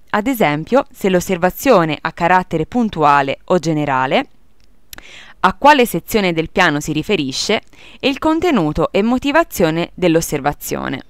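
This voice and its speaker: A woman's voice: lowish voice, clean tone, dry recording, clean studio sound. A woman reading a scripted instructional tutorial as narrator in a formal, procedural manner.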